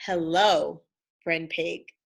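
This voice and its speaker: Woman acting out a slow, scheming big bad wolf. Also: on the low side, steady tone, creaky, slightly rough, voice pushed down, full character voice. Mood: sly.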